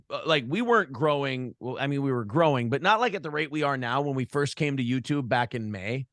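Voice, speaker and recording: A man's voice: a little high, a bit bright, no room sound, studio quality. An American man chatting casually as an interview guest, telling rambling stories in a blunt, profane way. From Minnesota, United States. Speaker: podcast and morning show host, interview guest. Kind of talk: casual interview chat, storytelling.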